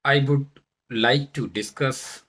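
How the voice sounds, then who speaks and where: A man from central India, a native Bundelkhandi speaker, presenting in Indian-accented English with deliberate, halting phrasing and stressed words, as in a self-introduction video. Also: medium pitch, fairly bright tone. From Madhya Pradesh, India. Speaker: vlogger, English learner turned presenter. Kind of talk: self-introduction talk to camera.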